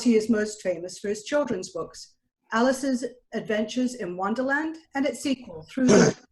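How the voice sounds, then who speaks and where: Woman, fairly high voice, presenter, scripted introduction. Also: poor audio.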